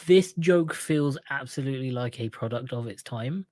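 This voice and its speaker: A man's voice: medium pitch, moderate pace, darkish tone, no echo, clean studio sound. A man co-hosting a podcast, casually explaining his opinions about films in a conversational way. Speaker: podcast co-host. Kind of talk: casual movie discussion.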